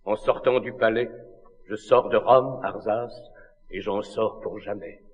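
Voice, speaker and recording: In a muffled recording with long hall reverb, a lovelorn, noble king of classical tragedy. He declaims solemn verse slowly and with anguish, in a rather low voice. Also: fairly even intonation, husky. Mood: resolute, grave. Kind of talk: classical tragedy stage acting.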